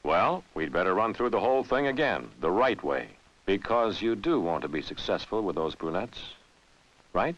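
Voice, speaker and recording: A man's voice: lowish voice, lively intonation, normal speed, fairly bright tone, lo-fi sound. A man narrating a 1960s instructional film in a wry, conversational lecturing manner. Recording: band-limited 1960s film soundtrack, music behind. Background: background music.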